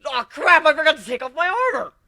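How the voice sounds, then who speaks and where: A man voicing a brash, cocky young griffin captain in a dramatic story reading, with a sarcastic, excitable manner. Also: extremely high pitch, quick pace, vocal fry, no echo, studio quality, voice pushed up, full character voice. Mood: startled, exasperated.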